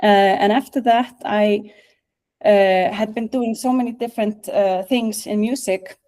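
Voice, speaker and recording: Mellow middle-aged Icelandic woman, hesitant talker. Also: mid-range voice, steady tone, unhurried. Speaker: guest speaker, national music office director. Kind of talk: informal online presentation. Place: video call.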